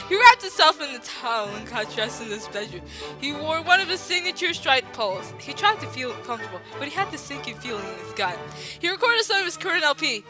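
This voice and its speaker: A young woman with a slight lisp, reading fan fiction aloud over a voice call, swearing and breaking into laughter. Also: sits high. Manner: breaks into laughter, swears, fast excited delivery. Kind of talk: giggly casual dramatic reading. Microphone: voice call microphone. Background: faint classical music.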